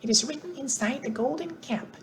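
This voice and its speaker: A woman reading aloud and voicing a tiny, gracious queen of the mice in a squeaky voice. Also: brisk, a bit bright, slight background noise.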